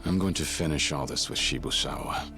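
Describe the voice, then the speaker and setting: An actor playing a defiant young gangster in a video game cutscene, speaking curtly. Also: male voice, very deep voice, brisk. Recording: dialogue over game music.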